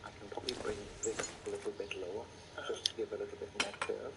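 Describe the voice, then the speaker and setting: Low-voiced surgeon, very noisy recording. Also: male voice, little pitch movement, quick pace, gravelly. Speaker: plastic surgeon. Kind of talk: professional consultation talk. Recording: very loud background noise.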